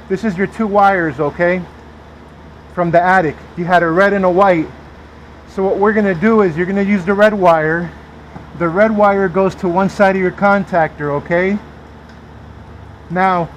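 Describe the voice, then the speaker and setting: A man working as an HVAC technician, explaining a repair step by step and checking in with "okay?" after each point, recorded on a body-worn camera in an attic and then in a backyard. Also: a little hiss or hum. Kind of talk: hands-on repair tutorial. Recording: dull, dry, low-fidelity sound.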